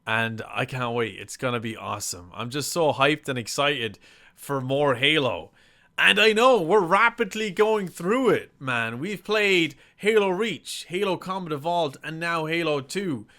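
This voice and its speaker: Casting: male reaction host, bright and smooth-toned, casual, excitable, prone to swearing. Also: fairly high pitch, measured pace. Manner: hyped, sweary, exclamatory. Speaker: reaction video host and game streamer.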